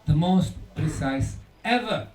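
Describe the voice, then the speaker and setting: A man giving a conference lecture in an emphatic, deliberate way, repeating key words for effect. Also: on the high side, expressive, darkish tone. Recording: echoey room, distant mic, faint noise.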